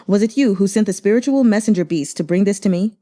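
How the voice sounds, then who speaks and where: A woman's voice: mid-range voice, fast, warm tone, natural voice. A woman voicing a cold, condescending, money-loving male master physician in an audiobook story, curt and unruffled. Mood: brusque.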